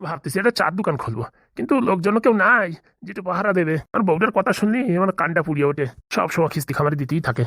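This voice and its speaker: A woman voicing a wary, grumbling middle-aged village man in a comedy cartoon, haggling gruffly. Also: fairly low pitch, animated, rapid-fire, fairly bright tone, no echo, clean studio sound. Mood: grumbling, scheming.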